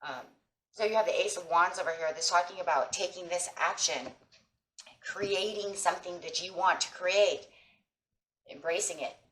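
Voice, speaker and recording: A woman with a hoarse voice from a sinus infection, giving a casual tarot reading and speaking encouragingly to viewers as friends. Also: on the low side, normal speed, fairly bright tone, roomy sound, slight background noise, low-quality recording. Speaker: tarot reader. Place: table with tarot cloth, indoors.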